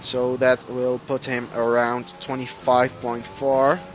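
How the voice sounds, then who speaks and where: A man whose first language is Dutch, commentating live on an online racing game with excitable bursts. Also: mid-range voice, slow pace, faint music behind, low-quality recording. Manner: excitable, rising loud bursts at crashes. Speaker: esports race commentator.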